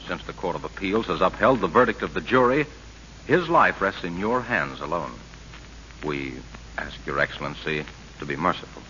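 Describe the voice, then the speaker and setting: A man acting a grave, formal defense lawyer in an old radio drama, oratorical and deliberate. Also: low-pitched, animated, pushed and bright. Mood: grave appeal. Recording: muffled, noisy vintage radio recording.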